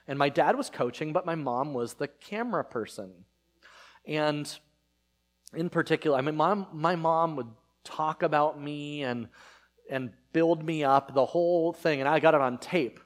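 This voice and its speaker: A man's voice: fairly high pitch, a little slow. A man in his thirties, a pastor whose first language is Spanish, giving a casual, conversational sermon with many fillers and restarts.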